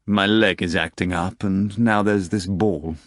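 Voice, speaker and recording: A man voicing a gruff, commanding devil who speaks tersely and wryly. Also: low voice, fairly even intonation, measured pace, fairly bright tone, no room sound, clean studio sound. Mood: weary. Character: commanding, weary devil, old male master of a ball.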